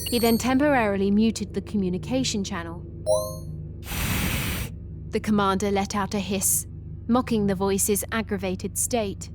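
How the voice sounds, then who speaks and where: A woman's voice: medium pitch, fairly even intonation, measured pace, long pauses, music in the background. A woman reading scripted story narration as the narrator.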